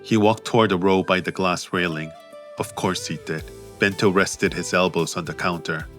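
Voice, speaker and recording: Low-voiced man narrating an audiobook, steady and crisp in tone. Soft music underneath. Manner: measured, steady. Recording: faint music behind.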